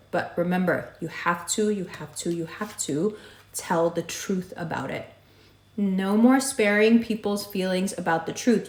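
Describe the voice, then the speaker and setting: A woman with a raspy voice giving a monthly horoscope reading, direct and emphatic, repeating her advice. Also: lowish voice. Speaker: astrology reader. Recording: echoey room.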